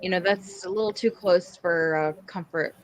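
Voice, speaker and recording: A woman's voice: fairly low pitch, moderate pace, rough recording. A woman hosting a podcast, chatting casually about a TV show in a blunt, joking manner with plenty of swearing. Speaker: podcast host.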